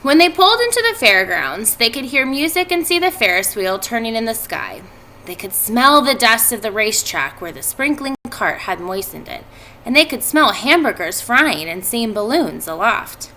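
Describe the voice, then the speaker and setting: A woman in her thirties, a teacher reading a storybook aloud to pupils, expressive and clearly phrased. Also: on the high side, moderate pace, a little hiss or hum, poor audio. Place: indoors at home by a fireplace.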